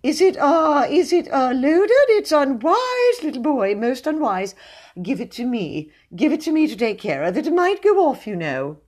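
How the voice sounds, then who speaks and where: A woman voicing a timid, pompous storybook gentleman with a high, quavering voice and a hesitant, pleading manner. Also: big pitch swings, slow pace, few pauses, exaggerated voice. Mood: frightened pleading. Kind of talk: storybook character voice.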